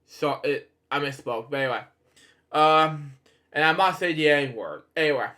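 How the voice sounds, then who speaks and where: A man hosting a read-aloud of children's stories in a casual, halting, rambling way with off-the-cuff asides. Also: a little high, bright, forceful tone. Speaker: host reading bedtime stories.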